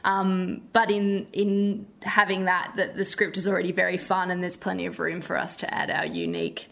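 A woman's voice: fairly low pitch, flat delivery, normal speed, creaky, fairly bright tone. A woman chatting casually as a theatre director being interviewed.

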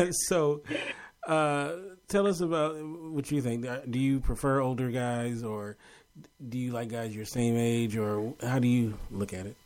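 A man's voice: mid-range voice, fairly even intonation, measured pace, darkish tone. A man hosting a casual chat, hesitant with many fillers and teasing his guests. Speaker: host.

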